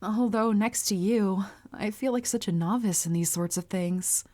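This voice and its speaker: A woman playing a polite, earnest, bashful young anime heroine with a crush, speaking formally and gently gushing in a roleplay audio. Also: medium pitch, normal speed, close to an everyday voice. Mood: curious, eager. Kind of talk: anime character roleplay audio, addressed to listener.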